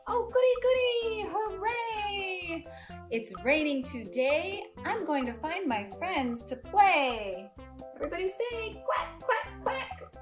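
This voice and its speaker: A woman voicing an excitable, playful little duckling from a picture book, in simple sing-song rhymes for young children. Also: extremely high pitch, slow pace, music in the background, pitched above the performer's own voice, heavily acted. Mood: cheerful, playful. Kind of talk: storybook read-aloud for young children.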